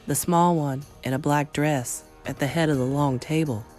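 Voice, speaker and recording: Unflappable elderly Norwegian granny, played by a woman narrator, low-pitched, wry and matter-of-fact, for a storybook. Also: fairly even intonation, moderate pace, a little mellow, dry recording, soft background music, natural voice. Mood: sly. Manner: unruffled, matter-of-fact, mischievous. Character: calm, wry old Norwegian grandmother.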